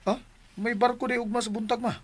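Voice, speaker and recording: A medium-pitched voice from an actor plays an eager grown-up child in a radio drama. The character is dutiful to a parent and speaks with a Cebuano accent. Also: level tone, quick pace, natural voice. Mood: excited, pleased.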